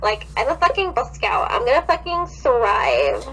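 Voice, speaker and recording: Casting: woman podcaster, fairly high voice, sarcastic and sweary, casual comic read-aloud, hummy noisy recording. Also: very animated, measured pace, gravelly, a bit bright. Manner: sarcastic, sweary, blunt commentary. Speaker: podcast co-host reading fan fiction aloud. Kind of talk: casual comic banter and mock-dramatic reading.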